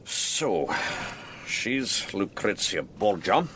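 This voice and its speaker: Deep-voiced, wry senior detective. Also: male voice, very animated, extremely slow, noisy background, exaggerated voice. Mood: musing, sceptical. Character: wry senior detective leading a case briefing. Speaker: senior police officer. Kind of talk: radio drama acting.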